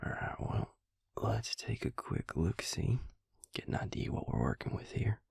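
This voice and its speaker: A man playing a devious vampire posing as a suave dentist in an intimate audio roleplay, talking softly and reassuringly to the listener in a breathy, gravelly half-whisper. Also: lowish voice, very animated, no echo, studio quality, heavily acted. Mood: soothing, clinical. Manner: soft-spoken, slow, reassuring bedside patter. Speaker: dentist character. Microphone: close, dry microphone.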